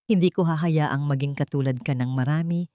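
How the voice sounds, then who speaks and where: A woman's voice: low-pitched, dark tone, dry recording, lightly acted. A woman voicing an old male gardener, grave, sorrowful and protective, in an audiobook drama. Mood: earnest. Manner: grave, deliberate. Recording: dull, dry lo-fi recording.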